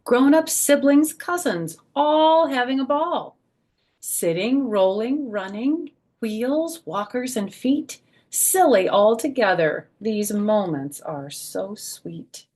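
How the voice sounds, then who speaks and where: An older woman doing a children's read-aloud storytime, moving between expressive sing-song reading and soft, gentle asides. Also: mid-range voice. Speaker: storyteller, children's author and former schoolteacher.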